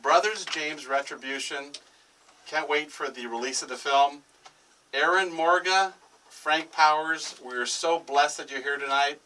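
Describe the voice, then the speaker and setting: A man hosting a talk show, asking quick questions and joking with his guests. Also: on the high side, sharp tone. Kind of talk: casual interview chat. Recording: distant mic with faint noise.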